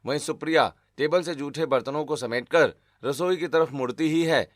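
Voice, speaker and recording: A man giving scripted narration for an animated family story as its storyteller. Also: fairly high pitch, moderate pace, dry recording, clean studio sound.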